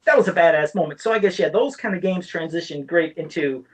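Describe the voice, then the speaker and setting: A man's voice: on the high side, fast. A man chatting casually about games as a podcast co-host, telling rambling stories with plenty of swearing. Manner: profane, rambling storyteller. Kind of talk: casual gaming chat.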